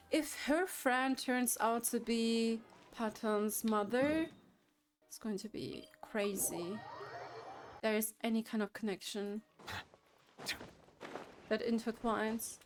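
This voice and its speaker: A woman's voice: a little high, slow pace, pauses often, noisy background. A woman giving casual, musing commentary as she watches a game, in an informal manner. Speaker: reaction video creator.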